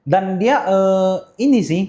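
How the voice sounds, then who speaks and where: A man's voice: sits high, lively intonation, slow pace, dark tone. A young man with an Indonesian accent in a casual podcast chat, speaking eagerly and repeating phrases for emphasis. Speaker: podcast guest, gemstone seller. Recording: roomy echo.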